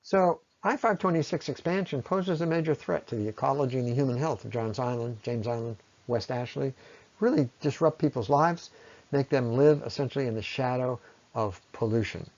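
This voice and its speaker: A man giving a persuasive, semi-scripted public presentation, speaking in an earnest, deliberate way with emphatic phrasing. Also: medium pitch, a little mellow, dull audio. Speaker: speaker presenting against a road project.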